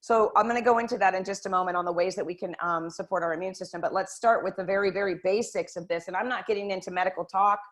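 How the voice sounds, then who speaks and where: Woman host, mid-pitched, earnest and direct, talking to viewers over a computer mic. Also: fast, hardly any pauses. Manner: earnest, direct, addresses viewers as "you guys". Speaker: health talk host. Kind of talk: casual motivational health talk. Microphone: computer microphone.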